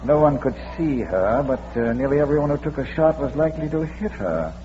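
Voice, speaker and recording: An actor playing an urbane, dryly witty gentleman amateur sleuth in a measured way, in a 1940s radio mystery style. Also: medium pitch, lively intonation, soft background music, close to an everyday voice. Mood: grave, dry. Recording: dull, noisy old radio recording.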